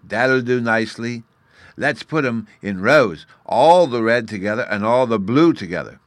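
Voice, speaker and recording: A man reading a storybook aloud, voicing a small, mischievous and sulky boy fairy who lisps "oo" for "you" and talks in a petulant, lively way. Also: medium pitch, a little slow, no echo, pitched above the performer's own voice. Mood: eager. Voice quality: childish lisp, says "oo" for you.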